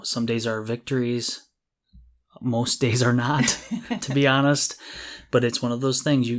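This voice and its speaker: A middle-aged man co-hosting a podcast in casual chat, with a jokey, self-deprecating way of talking. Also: on the low side. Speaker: podcast co-host.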